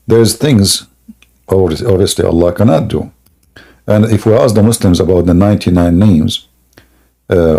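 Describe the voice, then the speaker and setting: A man hosting a live call-in debate, mocking and laughing often, with a sore throat and frequent throat clearing. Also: low voice, dark tone. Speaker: host of a religious debate stream. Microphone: something in his mouth may touch the mic.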